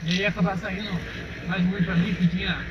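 A young Brazilian man with a fairly high voice talks casually to his viewers as he rides. The sound comes from a dull, muffled helmet camera, with steady engine and wind noise loud behind him. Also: brisk. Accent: Brazilian Portuguese. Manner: chatty, addresses viewers as "rapaziada". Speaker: motovlogger. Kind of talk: casual motovlog while riding. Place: outdoors on a dirt road, riding a motorcycle. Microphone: helmet-mounted action camera.

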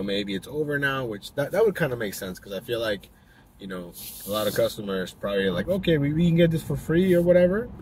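A man's voice: mid-range voice, rough recording. A young man vlogging while he drives, chatting loosely to the camera with lots of fillers and restarts. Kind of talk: casual vlog talk to camera. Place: inside a car, driving. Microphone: dash-mounted camera. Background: faint car noise.

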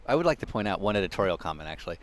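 A man's voice: low-pitched, very animated, rapid-fire, slight background noise. A man hosting a casual chat, teasing his guest bluntly and swearing casually. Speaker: podcast host. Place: outdoors in sunny yard.

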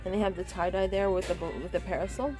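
A woman's voice: medium pitch, steady tone, quick pace, over music. A woman vlogging a casual shopping trip through a home goods store, pointing out items and reading prices aloud. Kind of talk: casual shop-with-me narration.

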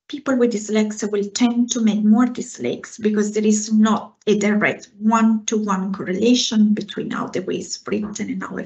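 A woman's voice: mid-range voice, darkish tone. A woman in her forties from Italy with an Italian accent, a researcher earnestly answering questions after her talk over a video call. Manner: earnest, explains with hand gestures. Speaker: guest speaker, researcher. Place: home room, indoors. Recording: slightly dull video call audio.

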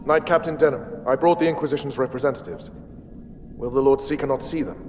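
An actor playing an earnest, troubled knight in a fantasy game, speaking formally and gravely. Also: male voice, mid-range voice, fairly quick, a little mellow, slight background noise, close to an everyday voice. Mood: firm. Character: earnest, duty-bound young knight templar, troubled by his orders. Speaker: game character. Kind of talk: fantasy game dialogue acting.

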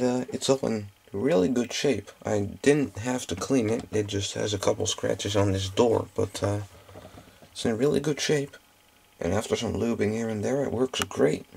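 A man's voice: lowish voice, creaky, darkish tone. A man giving a casual hands-on gadget demonstration indoors, picked up by a camera microphone. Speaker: gadget collector presenting. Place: indoors at a cluttered workbench. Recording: faint background noise.

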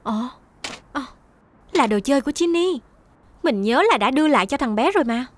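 A woman playing a kindly young female mechanic in a children's cartoon dub, polite and gently instructive, like a caring big sister. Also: sits high, expressive, brisk, a little hiss or hum. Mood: puzzled, caring.

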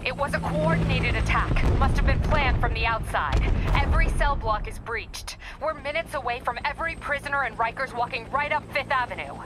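A woman's voice: high voice, brisk, hardly any pauses, lightly acted, poor audio. An American woman playing a no-nonsense police captain in a video game, clipped and businesslike. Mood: urgent, businesslike. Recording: loud action music and engine noise.

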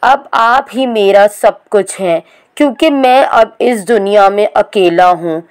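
A woman's voice: mid-range voice, slow, smooth, natural voice. A woman voicing a hurt, frightened baby hawk boy, pleading and tearful. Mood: sad.

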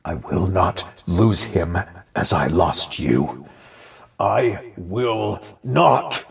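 An actor playing a wounded, fiercely protective warrior in an audio drama, with a gravelly, strained, resolute delivery. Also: male voice, low-pitched, expressive, extremely slow, full character voice. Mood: defiant.

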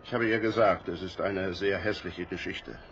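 An actor playing an ashamed, long-marooned former mutineer sailor in a radio play, halting and subdued. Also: male voice, low-pitched, fairly even intonation, fairly bright tone, muffled recording, slight background noise. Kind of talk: German radio play acting.

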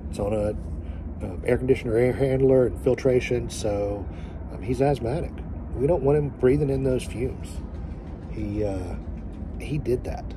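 A man in his forties telling a story straight to a phone camera outdoors, speaking earnestly and emphatically, pausing to find his words. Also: mid-range voice. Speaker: family vlogger. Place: outdoors by a boat in sunlight. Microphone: phone held at arm's length. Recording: outdoor phone audio with background noise.

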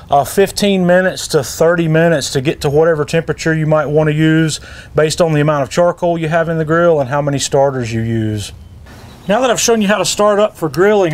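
A man's voice: on the high side, darkish tone, a little hiss or hum. A middle-aged man, explaining step by step in a casual, folksy how-to style as a product demonstrator. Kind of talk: casual instructional how-to narration.

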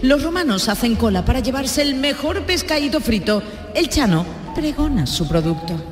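Male host-narrator for radio comedy: lively pitch swings, long reverb, music bed. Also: normal speed. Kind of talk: comic radio storytelling.

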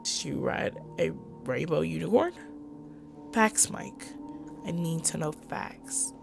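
Lowish, gravelly woman, theatrical. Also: expressive, takes it slowly, over music. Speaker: gaming video co-host. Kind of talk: acting out visual novel dialogue, casual game chat.

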